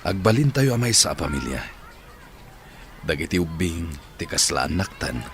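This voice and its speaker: A man's voice: deep voice, sing-song, normal speed, fairly bright tone, slight background noise, full character voice. A man playing an earnest suitor in an Ilocano audio drama, speaking sincerely with an Ilocano accent. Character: earnest adult suitor promising a happy family. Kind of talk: Ilocano radio-style drama acting.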